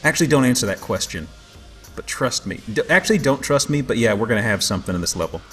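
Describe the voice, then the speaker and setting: A man's voice: medium pitch, animated, quick pace, fairly bright tone. A man hosting a let's play, giving casual, joking gaming commentary. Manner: joking banter. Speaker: let's play host playing the game. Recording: game music behind the voice. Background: video game music.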